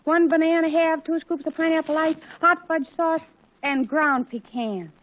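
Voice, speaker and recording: A woman's voice: sits high, moderate pace, lightly acted. An actress playing a gushing, easily impressed teenage girl in a 1940s radio comedy. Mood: dithering. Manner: gushing, breathless exclamations. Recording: dull, muffled 1940s radio recording.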